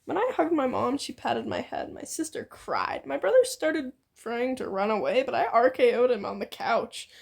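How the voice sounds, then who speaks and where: A deadpan teenage boy read out by a woman from a fan story, with a high, creaky voice. He states everything bluntly and matter-of-factly. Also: normal speed, hardly any pauses. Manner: monotone, blunt.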